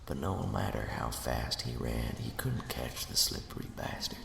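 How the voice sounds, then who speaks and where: A man voicing the sinister ghost of a murdered henchman, telling a spooky tale in a hushed, folksy, menacing way for an ASMR roleplay. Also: a bass voice, animated, moderate pace, background noise, exaggerated voice. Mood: ominous storytelling. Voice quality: breathy, gravelly whisper. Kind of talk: ASMR roleplay, scary storytelling. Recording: reverberant, cavernous echo.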